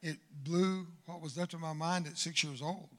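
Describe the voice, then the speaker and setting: An older man preaching a sermon in church, swinging from loud exhortation to hushed asides. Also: a little high, fairly even intonation, moderate pace. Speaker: guest preacher. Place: church sanctuary.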